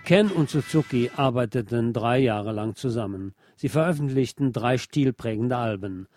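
A man reading a scripted music feature as a radio presenter, in a measured, articulate, literary manner. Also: medium pitch, darkish tone. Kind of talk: scripted narration.

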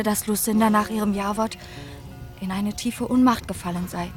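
An actress playing a passionate, eloquent wronged young maiden in a German radio drama. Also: female voice, on the high side, natural voice. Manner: eloquent, formal storytelling.

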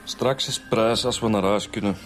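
A man's voice: fairly low pitch, fairly even intonation, moderate pace, a bit bright, faint music behind, close to an everyday voice. A man playing an easygoing, slightly grumbling police inspector in a radio drama, with a Flemish accent. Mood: casual.

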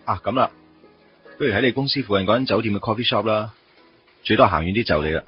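A wry male office worker played by an actor in a Hong Kong Cantonese radio drama. His voice sits low and moves animatedly between grumbling and teasing. The recording is a little dull, with music behind. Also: expressive, fast talker, close to an everyday voice. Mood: casual.

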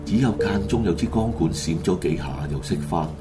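A man's voice: low voice, fairly quick. A man with a Hong Kong Cantonese accent narrating a radio drama in a dramatic, colloquial storytelling style. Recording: loud background music under the voice.

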